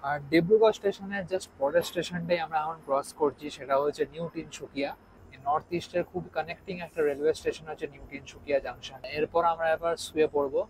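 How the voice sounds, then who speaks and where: A young man, chatting casually to viewers as a travel vlogger while filming himself at arm's length. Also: mid-range voice. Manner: lively, chatty, points things out to viewers. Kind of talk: casual travel vlog narration. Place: train coach and station platform, mostly moving train. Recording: train and station noise clearly behind.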